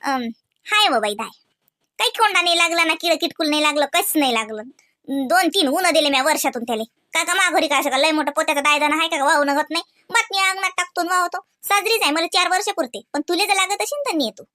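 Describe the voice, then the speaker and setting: A woman's voice: extremely high pitch, expressive, fast talker, sharp tone, no room sound, clean studio sound, full character voice. A woman voicing a proud, shrewd older village aunt in a Marathi comedy cartoon, grumbling emphatically with a Varhadi accent from Vidarbha. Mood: defensive, proud.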